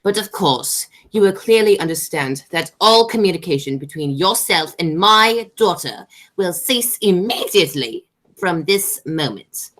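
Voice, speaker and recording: A woman's voice: fairly low pitch, animated, unhurried, a bit bright. A woman playing a haughty, stern aristocratic mother and aunt in an amateur radio play, declaiming with snobbish authority.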